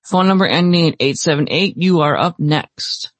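A man moderating a call queue informally, in a halting, tentative way. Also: on the high side, fairly bright tone, no echo.

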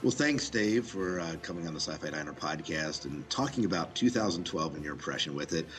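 A man hosting a podcast in casual conversation, with halting, tentative phrasing. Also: deep voice, lively intonation, a bit bright, soft background music. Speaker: podcast host interviewer. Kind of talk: casual chat.

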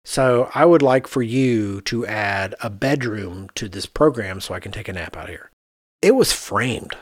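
A man, an architect hosting a podcast, chatting casually and telling animated anecdotes in which he quotes other people. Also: fairly low pitch, a little slow, dry recording, studio quality.

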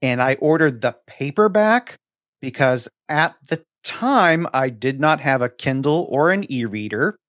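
A middle-aged man with an average-pitched voice speaks as a podcast co-host. He reminisces casually about books, measured and articulate, in a very dry recording with no room sound. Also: animated, a bit bright, no echo.

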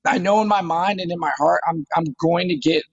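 A man's voice: sits high, steady tone, fairly quick, dry recording, lo-fi sound. A man in his thirties, a fighter talking casually in an interview, eager and often repeating words as he starts a phrase. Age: 30s. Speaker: MMA fighter guest.

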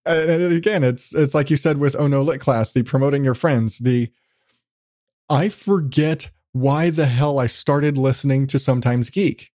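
A man's voice: mid-range voice, normal speed, darkish tone, no echo, clean studio sound. A man hosting a casual podcast interview, talking in a rambling, self-deprecating way with casual swearing. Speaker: podcast host.

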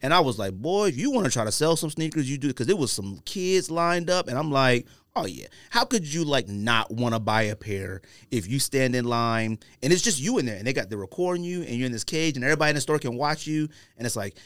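A man's voice: mid-range voice, very animated, quick pace, hardly any pauses, fairly bright tone, dry recording. A middle-aged American man chats casually on a podcast in a mid-range voice. His talk is slangy and emphatic, leaning on repetition. The sound is dry and close, with very little room. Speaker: podcast host. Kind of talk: casual chat about sneakers. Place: close-miked podcast studio.

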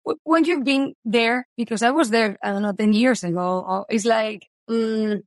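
A woman's voice: fairly high pitch, moderate pace, no echo, clean studio sound. A woman in her thirties from Spain with a Spanish accent, eagerly talking about her book in a casual interview. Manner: earnest, eager, with non-native phrasing. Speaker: guest author.

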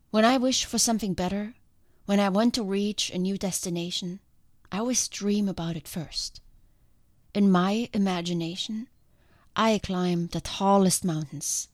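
A woman speaks close to a podcast microphone in a calm, earnest and reflective way, hosting her own solo show. Her voice sounds congested from a sinus infection, and the recording is dry, with no room sound. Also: mid-range voice, no echo. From outside the country she now lives in. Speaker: podcast host.

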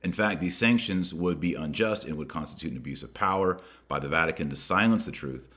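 An American man hosting a podcast, reading a document aloud and commenting on it with deliberate emphasis. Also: sits low, fairly bright tone. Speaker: podcast host.

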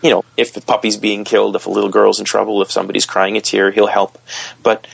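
Male podcast guest, deep husky gravel, dry booth sound. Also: flat delivery, quick pace, dry recording, rough recording. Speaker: guest author. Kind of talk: podcast interview, listing favorite characters.

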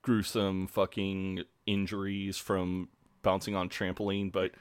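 A man's voice: sits low, monotone, unhurried, clean tone, no room sound. A man co-hosting a podcast in casual chat, swearing freely and laughing at himself, congested. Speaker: podcast co-host.

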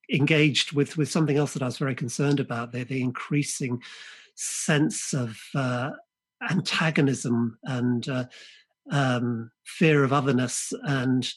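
Husky guy, polish first language, podcast guest, keeps restarting and repeating words. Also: medium pitch. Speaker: guest author. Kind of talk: podcast interview.

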